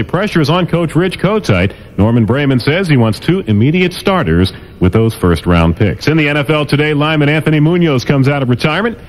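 A man as a radio newscaster, reading a news and sports update in a crisp, measured way. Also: mid-range voice, poor audio. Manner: crisp, measured newsreader delivery.

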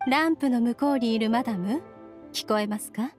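A woman's voice: a little high, a little fast, music in the background, lightly acted. A woman playing a composed, sly young female visitor in an anime game, teasing and assured. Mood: formal, poised. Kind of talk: Japanese anime game acting.

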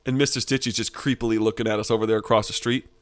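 A man's voice: lowish voice, little pitch movement, racing. A man hosting a gaming video, giving jokey, exaggerated reaction commentary. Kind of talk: excitable reaction commentary with joking read-outs.

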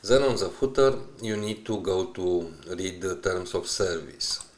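Deep-voiced, halting male tutorial narrator. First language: not English. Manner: halting, hesitant, searching for words. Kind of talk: unscripted screen-recorded walkthrough.

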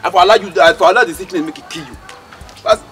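Fast-talking, blustering Nigerian hothead played by a man. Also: sits high, a bit bright, faint music behind. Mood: threatening, bossy. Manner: blustering, scolding. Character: hot-tempered, scolding male friend, streetwise and threatening. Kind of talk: comedy drama acting in Nigerian Pidgin.

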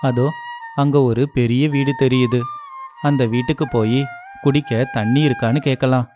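A man's voice: medium pitch, fairly even intonation, moderate pace, natural voice. A man voicing a poor, devout village father in a storybook cartoon, speaking in an earnest, pleading way. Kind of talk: animated storybook voice acting. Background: flute music.

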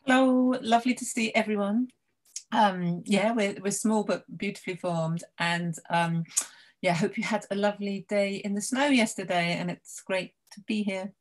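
A woman's voice: mid-range voice, fairly even intonation, moderate pace. A woman greeting everyone cheerfully on a video call. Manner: cheerful, chatty. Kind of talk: self-introduction.

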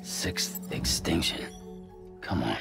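An actor playing a gruff, skeptical, weary courier in a game cutscene, with a gravelly voice and terse, blunt delivery. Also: male voice, slow, over music, close to an everyday voice. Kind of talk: video game cutscene acting.

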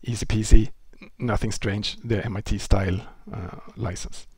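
Swedish-accented man lecturing, husky and dry. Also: lowish voice, normal speed, dry recording. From Sweden. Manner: relaxed explaining, with frequent restarts and fillers. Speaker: presenter. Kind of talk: technical lecture. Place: indoor room. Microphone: headset.

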